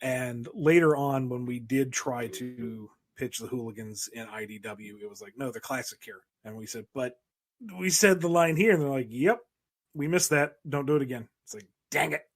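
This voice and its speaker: A man's voice: mid-range voice, normal speed, no echo. A man in casual podcast talk as a writer, wryly acting out quoted exchanges. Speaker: comic book writer.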